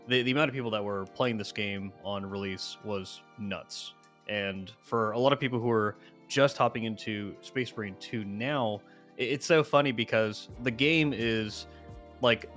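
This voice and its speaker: Low-pitched man chatting as a gaming guest. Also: fairly bright tone. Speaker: guest co-commentator. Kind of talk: casual gaming chat. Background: background music.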